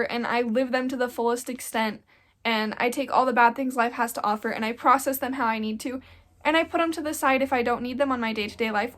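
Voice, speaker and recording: A woman's voice: high voice, a bit bright. A young woman around twenty, a little congested from getting sick, talking earnestly in a casual sit-down vlog and rambling with lots of "like.". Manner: earnest, rambling, with frequent "like" fillers. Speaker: YouTuber.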